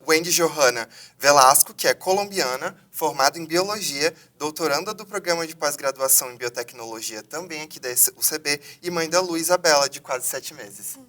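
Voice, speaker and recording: A man's voice: on the high side, lively intonation, normal speed, few pauses, sharp tone, far from the mic. A man hosting a university videocast from a theater, reading formal introductions. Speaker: host.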